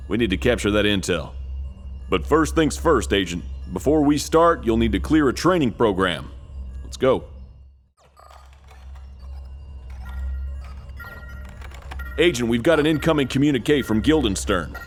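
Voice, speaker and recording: A man acts as a serious army major in a silly stealth game. He delivers briefings with deadpan authority in a medium-pitched voice. Faint music plays behind him. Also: moderate pace, close to an everyday voice. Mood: reassuring, commanding. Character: earnest male army major, deadpan mission commander. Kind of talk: comic video game cutscene acting.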